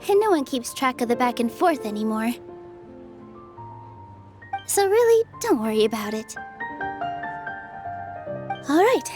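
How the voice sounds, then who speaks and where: A woman's voice: high voice, darkish tone. A woman voicing a kind, reassuring young theater dancer in a video game, friendly and polite. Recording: faint music behind the voice.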